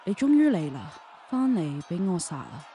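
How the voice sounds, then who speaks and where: A cold young assassin princess for a radio drama, acted by a woman. The voice is mellow and on the low side, detached yet theatrical. It rings with long reverb. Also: very animated, normal speed, slight background noise. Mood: menacing. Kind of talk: Chinese radio drama acting with first-person narration. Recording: roomy long reverb, faint music behind.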